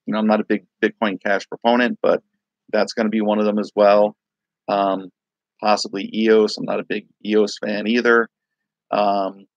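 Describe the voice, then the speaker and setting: A man with a raspy voice from a night of singing, hosting a casual crypto livestream, rambling loosely and greeting viewers by name. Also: lowish voice, no room sound, lo-fi sound. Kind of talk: casual live-stream chat.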